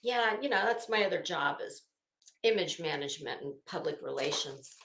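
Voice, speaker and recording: A woman host reading aloud and adding sarcastic, emphatic, halting commentary as she goes. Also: low voice, expressive, fairly bright tone, a little hiss or hum. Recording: echoey room sound.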